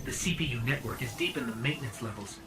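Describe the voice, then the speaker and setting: A man's voice: mid-range voice, animated, fast, close to an everyday voice. An actor playing a talkative male companion guide who gives running, reassuring comments. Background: loud game score.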